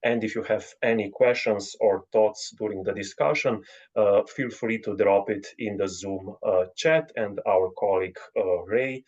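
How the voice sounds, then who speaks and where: A man, a co-organizer giving announcements on a video call in a halting way full of fillers. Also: lowish voice, darkish tone. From Slovenia. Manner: halting, many filler sounds. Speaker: panel co-organizer. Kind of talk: event announcements. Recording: dull lo-fi video call audio.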